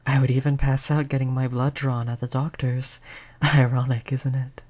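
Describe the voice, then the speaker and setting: A performer voicing a gentle, polite vampire in an intimate roleplay audio, speaking in a soothing, conversational way at a medium pitch. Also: little pitch movement, normal speed, natural voice. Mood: friendly, reminiscing. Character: gentle, reassuring vampire, eighty years old, eternally polite. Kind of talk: roleplay audio drama, intimate one-on-one talk to listener.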